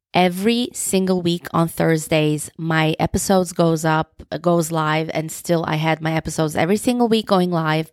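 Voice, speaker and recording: A woman's voice: lowish voice, dry recording, clean studio sound. A young woman with a Turkish accent, hosting her own podcast, who talks in a reflective and openly personal way. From Turkey. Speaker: podcast host. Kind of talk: solo podcast monologue.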